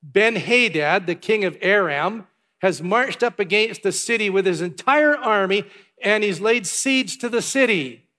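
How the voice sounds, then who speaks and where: A pastor from Canada giving church announcements and a sermon in a chatty way, with asides and filler words. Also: male voice, high-pitched, lively intonation, unhurried, bright, forceful tone. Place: large church hall. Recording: long hall reverb.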